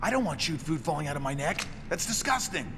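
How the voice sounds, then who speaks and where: An actor playing a young, sarcastic, awkward boyfriend who is a living severed head in a video game. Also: male voice, a little high, sing-song, fast, crowd noise. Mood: awkward, disgusted. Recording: game audio under loud music.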